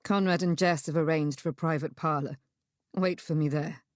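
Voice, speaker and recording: A gentle Regency gentleman, played by a woman narrator in a romance audiobook. The pitch is dropped and the delivery is soft and measured, reassuring yet resolute. The sound is dry. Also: steady tone, dry recording, natural voice. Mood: grave, measured.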